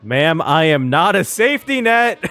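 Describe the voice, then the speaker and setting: An excitable streamer reacts casually to a game in a lively, widely swinging mid-pitched voice. The delivery is expressive, close on the mic, with faint music behind. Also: normal speed. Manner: excitable, giggly, expressive, swearing.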